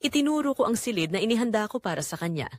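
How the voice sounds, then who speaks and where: A wounded middle-aged mother played by a woman confesses her heartbreak as audio drama narration. Her delivery is earnest and deliberate, with a widely moving pitch and a very dry recording. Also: big pitch swings, no room sound. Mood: hurt, reflective.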